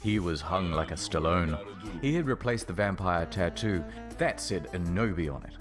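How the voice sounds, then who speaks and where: Male narrator, very low pitch, lively intonation, mock-serious comic storytelling, clear music bed. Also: normal speed. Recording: music behind the voice.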